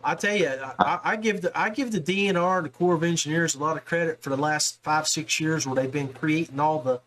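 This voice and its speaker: A man with a somewhat high voice hosts a casual interview. He speaks informally, circling back to restate his thoughts in a conversational way. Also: a little fast, fairly bright tone, low-quality recording. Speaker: podcast host, interviewer.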